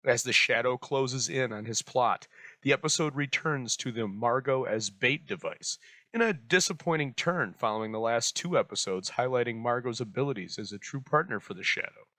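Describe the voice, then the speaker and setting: Bright-voiced man, scripted host introduction. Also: mid-range voice, normal speed, no echo. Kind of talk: scripted narration introducing vintage radio.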